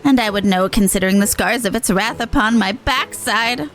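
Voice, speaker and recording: A woman voicing a pompous, gleefully macabre demon showman from a game, with a grandiose, drawn-out delivery. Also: mid-range voice, a bit bright, faint music behind, close to an everyday voice, low-quality recording. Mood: mock terror. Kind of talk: voiced reading of game dialogue.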